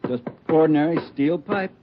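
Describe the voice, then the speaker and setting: A man's voice: on the high side, moderate pace, a little hiss or hum, close to an everyday voice. An American man playing a matter-of-fact, wryly dry crime lab technician in a 1950s radio drama. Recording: muffled old radio recording.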